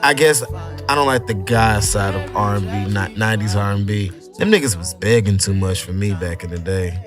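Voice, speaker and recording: A man's voice: fairly low pitch, brisk, music in the background. A man hosting a podcast interview, talking in a laid-back, slangy, conversational way. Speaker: podcast host. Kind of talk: casual interview chat.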